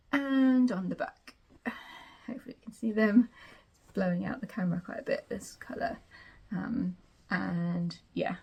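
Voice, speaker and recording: A woman hosting a casual vlog, chatting in a rambling, self-interrupting way with a croaky voice. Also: medium pitch, a little mellow. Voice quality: croaky morning voice. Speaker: sewing vlog host. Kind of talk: casual chat to camera.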